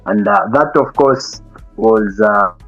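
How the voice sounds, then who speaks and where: A man's voice: fairly low pitch, takes it slowly, faint music behind. Young man talking thoughtfully, lo-fi sound. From Zambia. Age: young adult. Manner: thoughtful, many hesitations and restarts. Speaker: guest. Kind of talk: casual interview talk.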